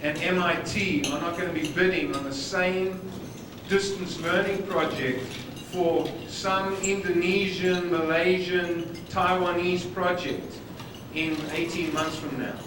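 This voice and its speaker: A man's voice: high voice, steady tone, unhurried, background noise. South African man speaking in an echoey hall. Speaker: conference speaker. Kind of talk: conference presentation. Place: conference hall with dining noise. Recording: echoey hall from far off on old videotape.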